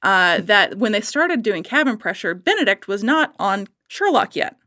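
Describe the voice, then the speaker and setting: A woman talking casually as a podcast guest, rambling a little and haltingly as she thinks aloud. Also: mid-range voice, big pitch swings, pushed and bright, dry recording, clean studio sound.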